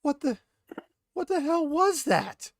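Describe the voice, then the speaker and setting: A man's voice: extremely high pitch, animated, slow, no echo, clean studio sound, pitched above the performer's own voice, heavily acted. A man voicing a frightened, bewildered receptionist in a tabletop horror game, crying out in alarm. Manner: alarmed, stammering outcry.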